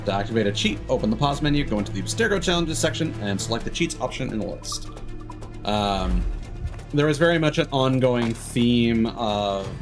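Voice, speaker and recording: A man giving casual livestream game commentary, musing in a halting way and often trailing off mid-thought. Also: medium pitch, animated. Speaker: game streamer. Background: game music.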